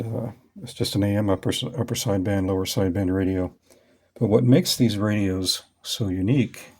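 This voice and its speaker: A middle-aged man with a low voice presents a hands-on hobby demonstration. He talks casually and explains things in short, measured phrases. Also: a little mellow, breathy edge.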